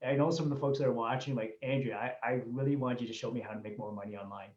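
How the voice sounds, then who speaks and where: A man's voice: mid-range voice, fairly even intonation, very fast, darkish tone. A man hosting a livestream interview, talking to viewers in an upbeat way and often repeating his words. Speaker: host of a Facebook Live show. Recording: echoey, muffled webcam audio.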